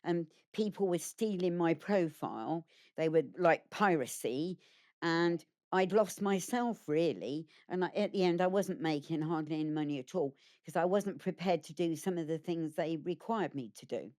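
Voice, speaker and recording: A woman's voice: fairly low pitch, normal speed, a little mellow. A woman giving a candid documentary interview about her experiences. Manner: plain candid recounting.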